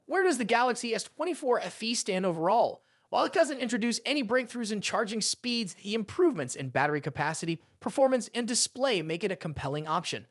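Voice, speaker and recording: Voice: mid-range voice, no echo, studio quality. A tech review presenter reading a scripted narration in a polished explainer style.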